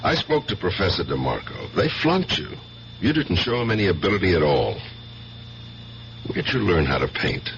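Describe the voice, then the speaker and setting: An actor playing a suspicious, relentless American interrogator in a radio drama, pressing and accusing, on a muffled vintage recording. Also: male voice, sits low, very animated, normal speed, creaky, background noise, exaggerated voice. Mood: insistent, accusing. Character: suspicious, relentless male interrogator grilling an acquaintance.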